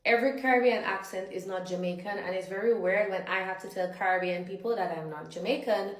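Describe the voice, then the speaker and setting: A woman's voice: mid-range voice, brisk. A woman with an accent from Dominica, talking firmly and emphatically to camera with careful enunciation. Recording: echoey room.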